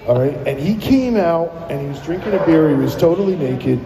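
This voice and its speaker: A man from New Jersey, a guest musician, telling stories in a casual radio interview, rambling and animated with many fillers, in an echoey live room. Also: on the high side, fairly quick, gravelly, noisy background. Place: live broadcast at a plaza venue.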